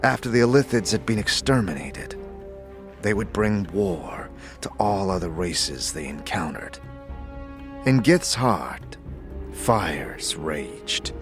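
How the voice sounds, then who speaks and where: A man playing a solemn warrior-monk mentor, speaking in a grave, formal, measured way as in a fantasy audio drama. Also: low-pitched, very animated, soft background music, heavily acted. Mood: solemn, reverent.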